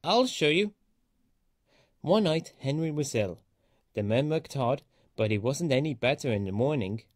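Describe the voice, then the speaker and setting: A man reading a children's story aloud as an expressive storyteller. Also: mid-range voice, normal speed, no room sound, studio quality.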